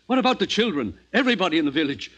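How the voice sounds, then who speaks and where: Stern sensible friend in old 50s radio play, husky, very up and down delivery. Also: male voice, a little high, sing-song, racing, lo-fi sound. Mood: urgent reproach. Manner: forceful, reproving, earnest. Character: stern, practical male friend of the scientist. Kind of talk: 1950s radio drama acting.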